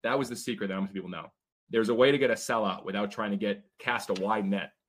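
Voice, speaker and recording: Man, interview guest, rapid delivery, husky tone, energetic storyteller. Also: fairly low pitch. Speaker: guest, sports team owner. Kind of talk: casual interview talk.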